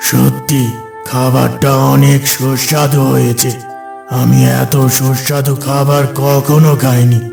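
A man voicing a calm, fatherly wandering tantric holy man in a cartoon, counselling in a solemn manner. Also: mid-range voice, measured pace, gravelly, echoey room, over music. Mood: kindly, advising. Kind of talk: cartoon voice acting.

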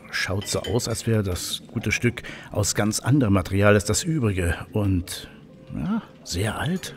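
An excitable, learned professor of old machines, acted by a man for an audio drama. He hums and mutters to himself, then breaks into exclamations. Faint music runs behind his voice. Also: medium pitch, close to an everyday voice. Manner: hesitant humming and muttering, exclamatory. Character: excitable, learned male professor and steam technology expert. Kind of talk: German audio drama acting.

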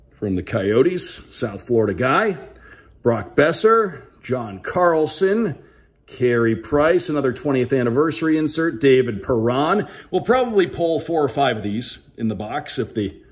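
An American man hosting a casual unboxing as a sports broadcaster, announcing names crisply like a play-by-play caller. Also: mid-range voice. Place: indoors at a tabletop, small room.

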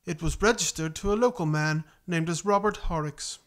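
A man's voice: a little high, moderate pace, fairly bright tone, natural voice. A man playing a formal, composed newsreader, reading a scripted announcement in a radio play. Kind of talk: scripted news bulletin and credits reading.

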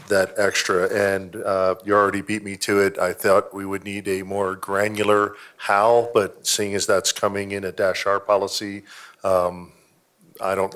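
Deep flat-voiced man chairing a board. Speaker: board president chairing the meeting. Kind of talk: chairing a public meeting. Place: school board meeting room.

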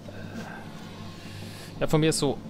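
A man's voice: a little slow, noisy background. A man giving casual, dryly ironic let's play commentary, half talking to himself. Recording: game music behind voice. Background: strategy game sounds and music.

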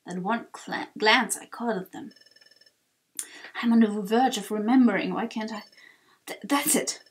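A woman voicing an earnest, protective bird guide in a video game, grave and halting, with exclamations. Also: mid-range voice, lightly acted. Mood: uneasy.

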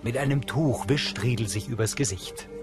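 A man's voice: mid-range voice, normal speed. A man reading factual audio description in a neutral, scripted style. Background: music.

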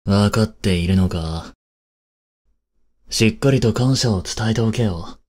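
An actor playing a cold, haughty young prince in an audio drama, with a husky, breathy voice and a curt, imperious manner. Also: male voice, on the low side, measured pace, a little mellow. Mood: stern, scolding. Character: cold, haughty young prince scolding and fretting over his maid. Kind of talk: Japanese audio drama acting.

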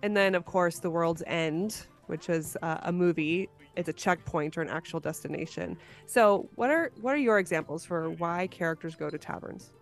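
A woman's voice: on the low side, normal speed, few pauses, faint music behind. A woman hosting a podcast in a casual, conversational interview style. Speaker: podcast host.

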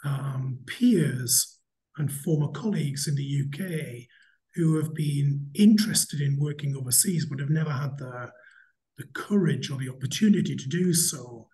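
A middle-aged man from Newcastle with a slight Geordie accent, a hotel chief executive giving a reflective interview answer over a video call from an office, speaking in a deliberately slowed, measured way with frequent restarts. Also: a little high, warm tone. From Newcastle, England. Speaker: hotel company chief executive, podcast guest. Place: office indoors. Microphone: video call webcam mic.

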